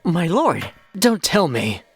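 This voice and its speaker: Lady voicing a sly teasing mystery guy in an anime dub, low voice that swings around a lot, florid talk. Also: sing-song, slow pace, soft background music, full character voice. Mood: airy, puzzled. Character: sly, languid, mysterious male aristocrat's associate, playfully clueless. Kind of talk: scripted anime-style manga dub acting.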